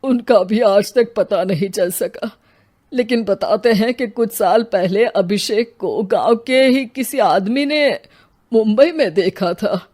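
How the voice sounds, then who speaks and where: A woman's voice: mid-range voice, slow, a little mellow. A woman playing a grieving, weary old father in a dramatized story, speaking plaintively and respectfully. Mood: reflective. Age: older. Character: grieving, weary elderly father, polite and sorrowful. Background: music.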